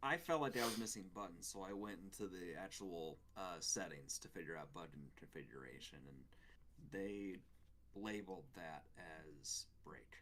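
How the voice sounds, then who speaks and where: A man's voice: low-pitched, lively intonation, normal speed, a bit bright. A man co-hosting a gaming show, giving his take on games in casual conversation. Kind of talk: casual game review chat.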